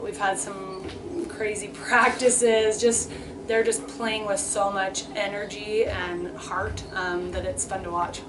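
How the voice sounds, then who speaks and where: A woman's voice: medium pitch, flat delivery, slow pace. A woman speaking as a volleyball head coach in an informal sports interview. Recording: distant mic, clearly noisy background.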